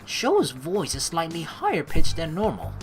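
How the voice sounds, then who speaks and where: A woman's voice: sits low, huge pitch swings, a little slow. A young woman hosting a let's play, teasing and slangy as she mixes casual commentary with reading the game's narration aloud. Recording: faint game music behind the voice.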